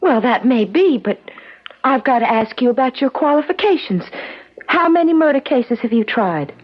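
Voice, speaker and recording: Casting: anxious, insistent wife, higher-pitched and challenging, old-time radio drama, muffled recording. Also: female voice, animated, normal speed. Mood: doubtful, anxious. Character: anxious, sharp-tongued young wife of an accused man.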